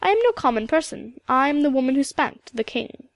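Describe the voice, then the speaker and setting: A woman reading a storybook, voicing a proud, dignified old widow with a Highland Scottish accent and a rich, emphatic delivery. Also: sing-song, a little fast, gravelly, dry recording, heavily acted. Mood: regal, proud. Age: older. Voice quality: rich, powerful. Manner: stately, emphatic, lilting turns of phrase.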